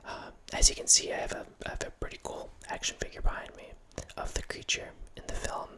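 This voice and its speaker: Whispering man rambling close to the mic. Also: fast. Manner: rambling, self-correcting, casual. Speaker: host. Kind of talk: whispered ASMR horror film ramble. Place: small home room with bookshelf. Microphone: studio mic close up, headphones.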